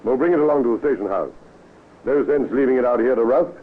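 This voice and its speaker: An actor playing a brisk, musing police superintendent in an old-time radio drama, on a scratchy, tinny recording. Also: male voice, medium pitch, close to an everyday voice. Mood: musing, authoritative.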